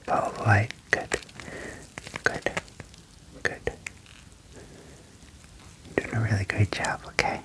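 Young male ASMR performer, low-pitched whisper, breathy and close-miked, calming doctor-roleplay delivery with gentle reassurance. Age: young adult. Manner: slow, soothing, reassuring, repeating short praise. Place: small indoor room at home. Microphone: close desk microphone with furry windscreen.

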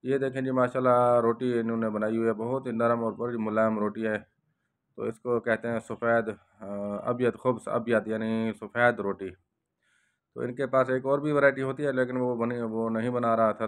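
A young man from Pakistan vlogging inside a bakery, speaking slowly and devoutly and repeating blessings, recorded on a handheld phone. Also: mid-range voice. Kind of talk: casual walk-through vlog with prayers. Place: bakery shop indoors.

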